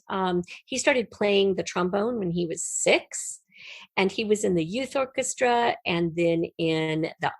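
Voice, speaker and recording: A woman's voice: mid-range voice, creaky, no echo. American woman chatting, close dry sound. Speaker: writer and podcast guest. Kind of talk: conversational interview storytelling.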